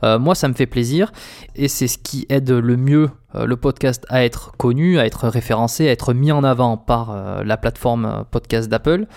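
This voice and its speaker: A man's voice: mid-range voice, a little mellow. A man hosting a podcast, introducing his guest and talking directly to listeners in a conversational way with frequent hesitations. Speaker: podcast host. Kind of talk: podcast interview and listener appeal.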